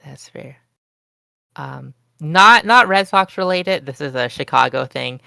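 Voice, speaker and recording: A woman telling a rambling story on an online call, excitable and jumping up in pitch. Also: a little slow, creaky, smooth, no room sound. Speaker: group chat participant. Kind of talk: casual storytelling chat.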